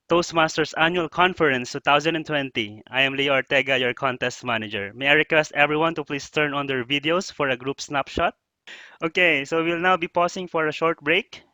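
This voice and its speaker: Bright-voiced male online event announcer, dry sound. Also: medium pitch, no room sound. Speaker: contest manager. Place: indoors, on a video call.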